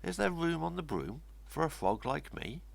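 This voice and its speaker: A man voicing a polite, hopeful storybook frog with a croaky voice. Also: on the high side, very animated, moderate pace, voice pushed up, full character voice.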